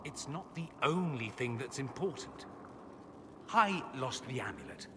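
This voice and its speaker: Proud, animated man in a reverberant hall. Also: mid-range voice, unhurried. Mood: defensive, firm. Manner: indignant, emphatic, insistent. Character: proud, defiant man refusing to owe anyone. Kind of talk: dramatic video game cutscene acting. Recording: long reverb, faint noise.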